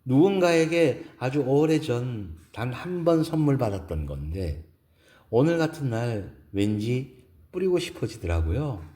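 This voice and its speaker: Man voicing a poised, gracious woman, raised pitch. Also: sing-song, a little slow, mellow. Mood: coy, wistful. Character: poised, gracious woman executive in a senior romance. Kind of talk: audio drama dialogue.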